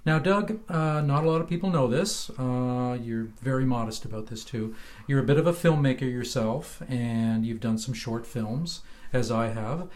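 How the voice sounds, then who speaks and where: A man's voice: medium pitch, moderate pace, a little mellow. A man hosting a podcast, interviewing his guest in a casual, conversational way.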